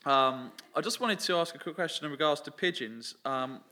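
A man's voice: fairly high pitch, normal speed, sharp tone. A man speaking casually as an audience member asking a question, hesitant and apologetic. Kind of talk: casual chat. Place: radio theatre audience. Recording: faint noise in live theatre.

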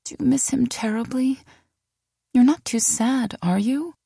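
An excited, romantic female friend, as a woman narrator puts her on for an audiobook. The voice is lifted high and dry, gushing and teasing in drawn-out phrases. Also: vocal fry, husky, no room sound, heavily acted. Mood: teasing concern. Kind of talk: audiobook character dialogue.

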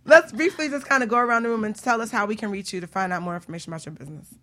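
A woman's voice: mid-range voice, rapid-fire, bright, forceful tone. A woman hosting a radio talk show in a casual, chatty, informal way.

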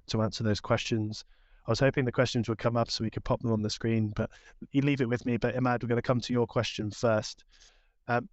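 Male panel host, level monotone, dry lo-fi sound. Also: medium pitch, dry recording. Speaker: podcast host. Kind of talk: hosting a panel podcast.

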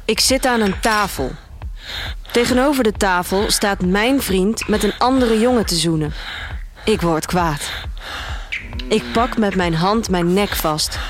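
An actress playing an introspective young woman who narrates her life in a dystopian future, in measured, deliberate first-person literary storytelling. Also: medium pitch, noisy background, close to an everyday voice. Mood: detached, tense. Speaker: narrator of a radio drama. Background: music.

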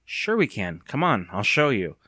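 A man's voice: on the low side, wildly swooping pitch, moderate pace, bright, forceful tone, no echo, heavily acted. A man voicing a practical, skeptical young girl in an audiobook, speaking in a teasing, matter-of-fact way. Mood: suggesting, practical. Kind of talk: audiobook character voice.

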